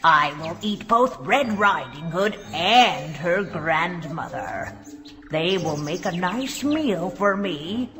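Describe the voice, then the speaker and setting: An actor playing a sly, greedy big bad wolf in a children's fairy tale, with a raspy growl and drawn-out, menacing wheedling. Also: medium pitch, animated, music in the background. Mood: gloating.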